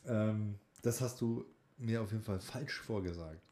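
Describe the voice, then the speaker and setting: A man's voice: fairly low pitch, dark tone. A man chats as a podcast co-host. His delivery is casual and jokey, with teasing asides. He often halts and rephrases as he goes. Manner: teasing, jokey, halting with self-corrections. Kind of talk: casual banter and chat about voice training classes.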